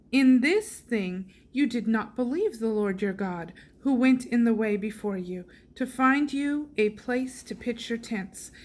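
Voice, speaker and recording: A woman reading scripture aloud in a measured way, sometimes stumbling over hard names. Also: fairly high pitch. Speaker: Bible reader. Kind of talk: slow, careful scripture reading.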